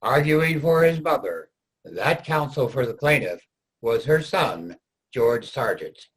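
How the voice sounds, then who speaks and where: A man with a slightly husky voice narrating a scripted readers' theater piece. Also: medium pitch, sing-song, slow, poor audio.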